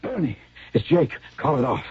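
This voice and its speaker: A man's voice: mid-range voice, sing-song, normal speed, lightly acted. A man playing a glib, guilt-ridden talent agent in a radio drama, with slangy salesman patter and confessional first-person narration. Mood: panicked. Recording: dull radio recording with dramatic music.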